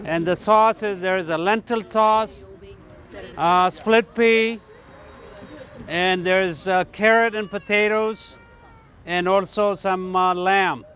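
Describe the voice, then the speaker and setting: Brief: older man from Ethiopia, slightly high voice, easygoing talk with pauses. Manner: relaxed, hesitant with fillers. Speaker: Rotary volunteer. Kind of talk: casual speech to a group.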